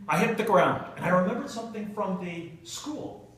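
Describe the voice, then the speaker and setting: Man, animated humorous speaker, bright forceful voice, dramatic pauses, theatrical delivery, echoey room. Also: high voice. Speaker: Toastmasters club speaker telling a humorous tale. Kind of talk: humorous storytelling speech to a live audience. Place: indoor meeting room with an audience. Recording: echoey room, recorded from far off.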